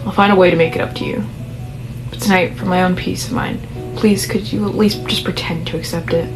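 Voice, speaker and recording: A hoarse woman voicing a calm, persuasive wealthy young man, over background music. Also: lowish voice, a little fast, natural voice, low-quality recording. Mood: coaxing.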